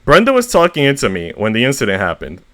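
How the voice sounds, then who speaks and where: A man voicing a spiteful, fed-up mobile phone in a resentful, deadpan way. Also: mid-range voice, fast, dry recording.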